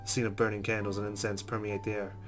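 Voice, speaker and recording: A man's voice: lowish voice, level tone, quick pace, over music. A man reading a visual novel aloud as a let's play host, in a narrating style.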